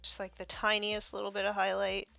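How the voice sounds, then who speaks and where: A woman chatting casually on a painting live stream, a little congested from allergies, muttering soft asides as she works. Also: medium pitch, completely flat delivery, brisk, vocal fry, faint music behind. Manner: soft muttering asides, trailing off mid-task. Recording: slightly dull, dry sound.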